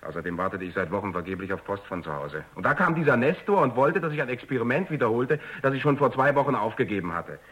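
A man's voice: mid-range voice, quick pace, few pauses, fairly bright tone, slightly rough. An actor playing an unsure, defensive young male physicist in a German radio drama, speaking hesitantly. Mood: exasperated.

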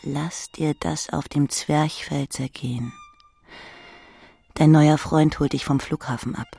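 Casting: literary narrator, husky with vocal fry, intimate second-person delivery. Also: mid-range voice, fairly even intonation, slow, warm tone.

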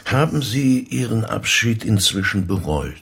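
An actor playing a probing police inspector in a radio crime drama, measured, formal and insinuating as he questions. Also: fairly low pitch, very animated, vocal fry, fairly bright tone, breathy edge, exaggerated voice. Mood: probing, suspicious. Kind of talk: naturalistic German radio play interrogation.

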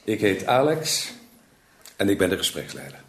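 An actor playing an earnest support group leader in a radio drama, measured and reassuring as he addresses a group. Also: on the low side, sing-song. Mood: earnest, welcoming. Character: steady, earnest male support group leader, himself a struggler.

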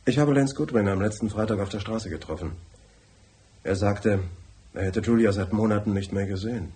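Man playing a deep-voiced, brusque businessman. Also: moderate pace, warm tone, lightly acted. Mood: terse, confiding. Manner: curt, formal, bossy. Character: brusque, tight-fisted, wealthy businessman client. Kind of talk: radio drama acting.

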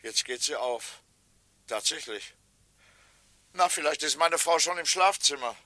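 A polite confused husband in an old 60s radio play, really bright forward voice, dry sound. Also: male voice, on the high side, lively intonation, a little slow, no echo. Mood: puzzled, uneasy. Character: polite, bewildered English husband locked out of home. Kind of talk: 1960s radio drama acting.

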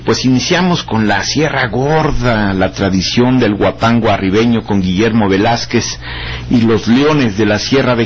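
A Mexican-accented man hosts a morning radio show with a cordial, smooth announcer delivery. His voice sits somewhat low, and the broadcast is a bit muffled with faint hiss. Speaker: radio host.

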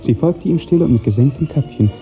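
A man narrating a literary 1950s radio drama in a measured, precise storytelling manner. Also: on the high side, expressive. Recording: narrow-band 1950s radio recording, faint music.

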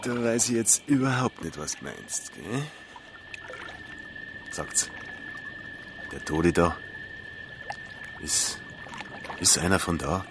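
A prying local reporter in a radio drama. His Bavarian voice is low and very slow, sly and coaxing. Noise is clearly audible behind him. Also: very animated, full character voice. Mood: sly, wheedling. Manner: wheedling, jovially pushy.

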